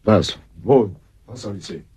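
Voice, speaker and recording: An actor playing a good-natured, easily flustered English gentleman in a German radio drama, with hesitant, muttered interjections. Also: fairly low pitch, normal speed, breathy edge. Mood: inquisitive.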